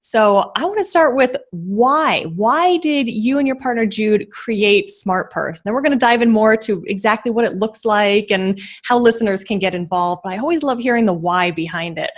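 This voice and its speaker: A woman's voice: mid-range voice, moderate pace, few pauses. A woman hosting a podcast, reading an upbeat intro and asking questions with enthusiasm. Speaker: podcast host. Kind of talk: scripted intro and interview.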